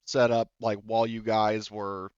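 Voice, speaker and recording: Smooth low-voiced man, halting game master. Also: level tone, a little mellow, no room sound, highs cut off. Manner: halting, explanatory, circles back and restarts sentences. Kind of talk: casual improvised tabletop game narration.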